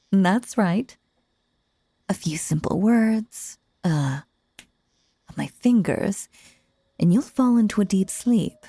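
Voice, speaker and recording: A woman playing a sinister, possessive stage magician in an intimate audio roleplay, theatrical and coaxing, with a breathy voice that often slips into whispers. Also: mid-range voice, lively intonation, mellow, no room sound, exaggerated voice. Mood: gleeful, scheming. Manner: theatrical, coaxing, drawn-out phrasing with dramatic pauses.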